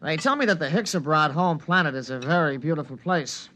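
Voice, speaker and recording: A brash young schemer talks in a fairly high voice, goading and theatrical. The style is excitable vintage radio drama. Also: male voice, brisk, a little hiss or hum, low-quality recording. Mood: slyly polite. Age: young adult. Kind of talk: 1950s radio drama acting.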